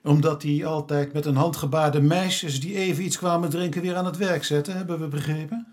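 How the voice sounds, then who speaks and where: An actor playing an earnest, somewhat naive police inspector in a radio drama, formal and a little hesitant. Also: male voice, a little high, fairly even intonation, normal speed, a little mellow, close to an everyday voice. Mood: awkward, polite. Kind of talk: naturalistic Dutch radio drama acting.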